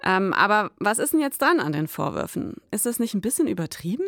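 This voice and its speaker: A woman's voice: mid-range voice, very animated, fairly quick, creaky, fairly bright tone, no echo, studio quality. A woman co-hosting an investigative podcast in scripted dialogue.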